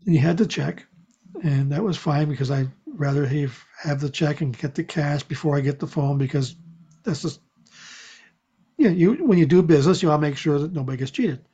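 A woman with a husky voice in casual conversation, telling things in a measured, rambling way. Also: deep voice, mellow, dull audio. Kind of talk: casual chat.

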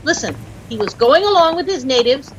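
Chirpy american housewife, gushy and swoopy, old radio comedy with background noise. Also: female voice, sits high, lively intonation, fairly quick. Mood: gushing, admiring. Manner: gushing, breathlessly admiring, lightly scolding. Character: chirpy, easily dazzled young housewife, teasing her husband. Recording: noisy old-time radio recording.

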